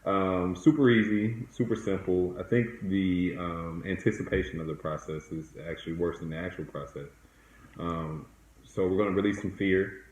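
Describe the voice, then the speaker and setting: A man's voice: low-pitched, steady tone, normal speed, lo-fi sound. A man coaching conversationally as a podcast host, giving step-by-step guidance in an encouraging, affirming way. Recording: echoey room.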